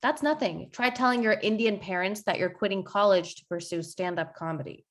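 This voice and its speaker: A woman's voice: medium pitch, a little fast, natural voice. Punchy young female stand-up comic, acted out. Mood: confident, wry. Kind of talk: stand-up routine in a table read. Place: video call from home.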